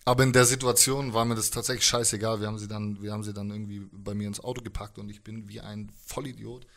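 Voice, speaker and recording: A middle-aged man telling a personal story as an interview guest, thoughtful and halting, in colloquial words. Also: lowish voice, little pitch movement, gravelly, fairly bright tone. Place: indoors, living room with instruments. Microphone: handheld microphone held close.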